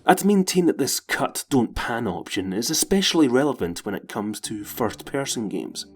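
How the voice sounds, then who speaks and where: A man's voice: medium pitch, sing-song, normal speed. A man delivering scripted narration as the presenter of a video essay.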